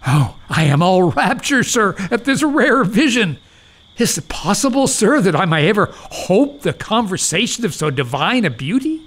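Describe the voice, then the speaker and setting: A man acting a gullible old doctor in an audio farce, pompous and rapturous, with deferential, drawn-out exclamations in period English. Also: high voice, very animated, a bit bright, exaggerated voice. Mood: rapturous awe.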